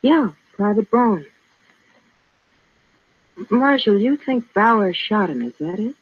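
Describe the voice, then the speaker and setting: A woman's voice: mid-range voice, lively intonation, slow, breathy edge, no echo. Coy frontier girl on tinny old radio. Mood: guarded. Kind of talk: 1950s radio western acting. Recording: tinny, narrow vintage radio recording.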